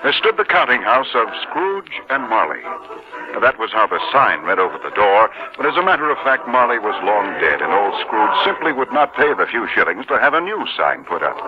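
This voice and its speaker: A man's voice: fairly low pitch, big pitch swings, normal speed. A man narrating a radio drama in a theatrical 1950s storytelling style. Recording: muffled 1950s radio recording.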